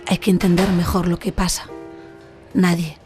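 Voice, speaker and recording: A woman's voice: on the low side, flat delivery, moderate pace, music in the background. A woman narrating a documentary in a reflective, questioning way. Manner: questioning, musing.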